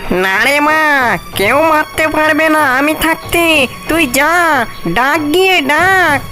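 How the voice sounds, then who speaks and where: High bright ghost witch mother. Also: slow, soft background music, exaggerated voice. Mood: tender, coaxing. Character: ghost witch mother, fiercely protective, menacing yet tender. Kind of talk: cartoon fairy-tale voice acting.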